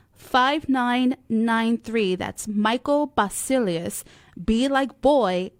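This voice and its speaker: Female co-host, unhurried delivery, dry close sound, for interview questions and show announcements. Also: on the high side, dry recording. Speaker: talk radio co-host.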